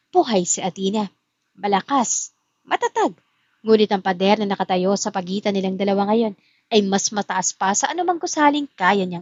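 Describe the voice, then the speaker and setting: A woman's voice: mid-range voice, darkish tone, no echo, lo-fi sound. A woman as narrator, reading a scripted love story in dramatic style. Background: music.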